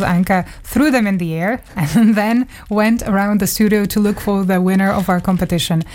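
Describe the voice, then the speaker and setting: A woman's voice: medium pitch, slight background noise. Woman, thoughtful radio guest. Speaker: radio journalist, studio guest. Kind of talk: reminiscing in a studio interview.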